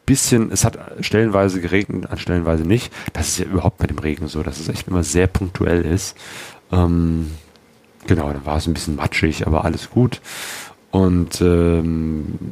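A man with a low, deep voice tells about his motorcycle journey in a loose, rambling way. He fills his sentences with ums and ahs and often starts over, like someone just talking to the camera. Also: steady tone, moderate pace, darkish tone. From Germany. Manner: rambling, many fillers and restarts. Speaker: motorcycle travel vlogger. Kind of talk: casual travel vlog talk.

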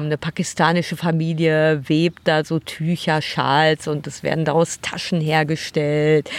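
A woman narrating a travel vlog with enthusiasm and frequent fillers. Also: on the low side. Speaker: travel vlogger. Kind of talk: casual vlog chat and voice-over.

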